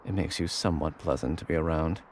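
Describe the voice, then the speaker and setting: A man playing a haughty, sardonic young intelligence agent in an anime audio drama, with a gravelly voice. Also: a bass voice, fast, a little hiss or hum. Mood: dry, sardonic.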